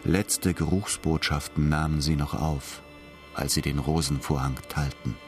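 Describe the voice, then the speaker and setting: Male narrator, deep voice, scripted radio play storytelling, faint music behind. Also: fairly even intonation, a little slow.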